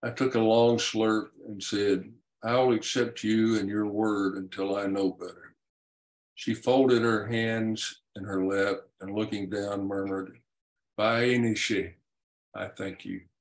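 A male author tells a historical tale as a guest on a show. His voice sits fairly low, and he speaks very slowly, measuring out each phrase with deliberate care. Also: little pitch movement, poor audio. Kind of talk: reading his own historical story and explaining it.

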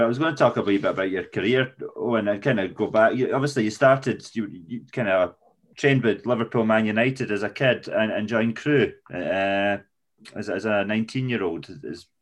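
A man's voice: on the low side, a little fast. A man hosting a chatty interview on a video call, asking rambling, joking questions. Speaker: podcast host. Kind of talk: casual interview chat.

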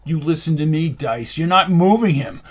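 A man voicing a commanding male leader in an audiobook, worried for his friend, terse and forceful. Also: fairly high pitch, normal speed, close to an everyday voice. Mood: angry.

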